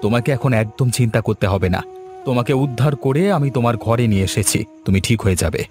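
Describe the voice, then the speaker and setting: A man's voice: medium pitch, quick pace, a little mellow, faint music behind, lightly acted. A man dubbing an earnest, hot-headed and protective young villager in a cartoon. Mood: concerned, indignant.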